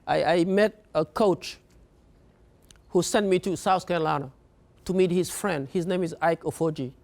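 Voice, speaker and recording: Young african guy, pretty high voice, earnest, keeps restarting his sentences. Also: creaky, darkish tone. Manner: earnest, halting, repeats words. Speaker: speaker. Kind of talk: personal story talk.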